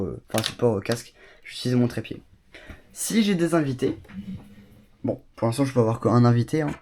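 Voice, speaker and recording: A man with a cold gives an excited tour of his small home studio. He chats casually and keeps drifting into asides and fillers. His voice sits at an ordinary middle pitch. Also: a little hiss or hum. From Bordeaux, France. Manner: chatty, enthusiastic, many fillers and asides. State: has a cold. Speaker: YouTuber showing his studio.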